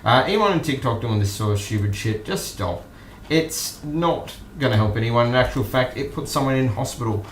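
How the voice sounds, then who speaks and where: An Australian man hosting an online news stream, commenting casually and off the cuff in a halting, musing way. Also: mid-range voice, lively intonation, slight background noise. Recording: roomy echo with music behind.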